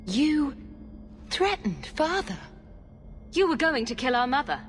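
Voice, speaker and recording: An actress playing a principled, protective, level-headed young heroine in a video game, direct and articulate. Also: female voice, high voice, big pitch swings, unhurried, a little hiss or hum. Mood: shocked, accusing. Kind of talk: dramatic video game acting.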